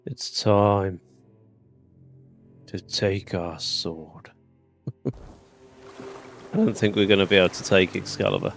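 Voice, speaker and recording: A man's voice: sits low, fairly even intonation, slow pace, pauses often. A man giving casual gameplay commentary, chatty and thinking aloud. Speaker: gaming YouTuber. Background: game music.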